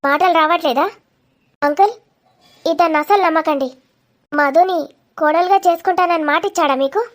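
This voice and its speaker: A woman's voice: extremely high pitch, normal speed. A woman voicing a feisty, sarcastic young woman in a confrontational cartoon comedy. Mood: indignant. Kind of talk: dramatic cartoon comedy dialogue.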